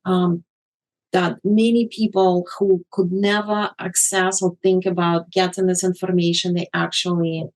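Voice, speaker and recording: Slow-speaking woman guest. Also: fairly low pitch, flat delivery, darkish tone, rough recording. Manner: deliberate, with many ums and uhs. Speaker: guest, magazine editor. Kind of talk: interview answers.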